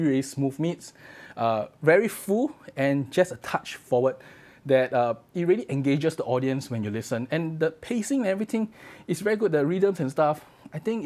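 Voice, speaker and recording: A man's voice: medium pitch, darkish tone. A man reviewing audio gear in a casual, conversational way. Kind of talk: casual spoken product review to camera.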